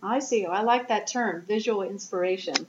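A woman hosts a conversational interview, putting questions to guests in a medium-pitched voice. The recording is somewhat dull, with faint noise behind her. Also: moderate pace. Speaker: interview show host. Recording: roomy background noise, dull lo-fi sound.

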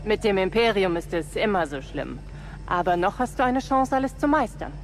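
An actress playing a somber, grateful resistance fighter in a game audio drama, earnest and encouraging. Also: female voice, mid-range voice, expressive, moderate pace, natural voice. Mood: somber, hopeful.

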